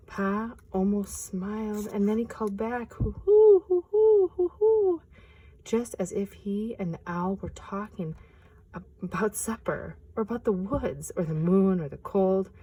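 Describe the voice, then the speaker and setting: A woman reading a picture book aloud outdoors in a forest, hushed and expressive, sometimes dropping to a whisper. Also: fairly low pitch, breathy edge. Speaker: nature preserve storyteller.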